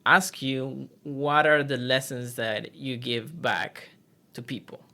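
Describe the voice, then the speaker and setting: Male podcast host, bright forward voice, mid pitch, casual interviewing. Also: fairly even intonation, takes it slowly, gravelly. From Venezuela. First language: Spanish.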